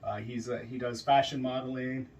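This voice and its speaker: A man's voice: medium pitch, flat delivery, moderate pace, distant mic, a little hiss or hum. A man hosting a casual podcast interview at a friend's home, chatty and joking, with lots of fillers. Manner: loud, jokey, filler-heavy with many yeahs. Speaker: podcast host.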